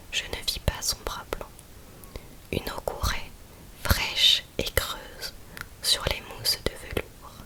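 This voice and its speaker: Female ASMR host, fully whispered, unhurried, intimate and soothing, close-miked poetry reader. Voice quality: breathy, unvoiced whisper. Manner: soft, slow, intimate, soothing delivery. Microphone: close-up USB condenser microphone.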